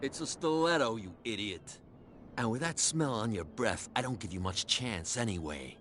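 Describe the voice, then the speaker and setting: An actor playing a surly male bootlegger thug in a video game, mocking and often hushed. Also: on the low side, animated, moderate pace, a little hiss or hum. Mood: scornful.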